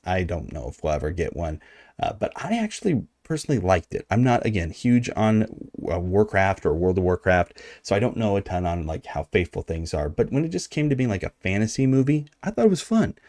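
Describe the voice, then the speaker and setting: A man's voice: low-pitched, very animated, a little fast, a little mellow, dry recording, clean studio sound. An American man hosting a video essay, talking in a casual, conversational and slightly wry way. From United States. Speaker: video host.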